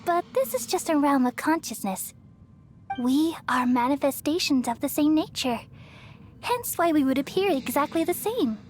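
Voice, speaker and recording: A woman voicing a serene, wise goddess in a young girl's form, solemn and calm, in an English anime game dub. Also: high voice, moderate pace, slight background noise.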